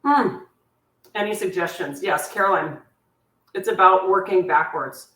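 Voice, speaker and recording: Woman host, slightly low and squeaky voice, chatty cooking-class teacher nursing a sore back, recorded at a distance in an echoey kitchen. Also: a little slow. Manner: chatty, warm-hearted asides, laughs at herself. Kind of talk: live online cooking class, answering viewer questions. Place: home kitchen. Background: clinking cutlery and dishes.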